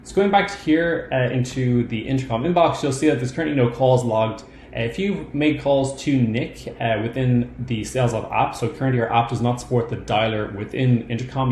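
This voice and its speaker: A man narrating a step-by-step software demo in an informal, explanatory way. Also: medium pitch. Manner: explanatory, informal instructional delivery. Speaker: software tutorial presenter. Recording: roomy echo, clear background noise.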